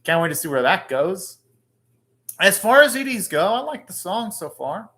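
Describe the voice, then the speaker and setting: A man's voice: sits high, big pitch swings, normal speed, pushed and bright. Man giving casual, rambling anime reactions. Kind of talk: casual live reaction commentary.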